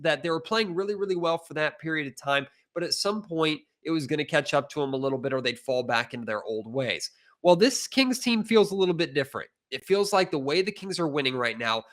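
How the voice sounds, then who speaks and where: A man's voice: fairly high pitch, a bit bright. An American man hosting a sports podcast, talking as a reporter and interviewer.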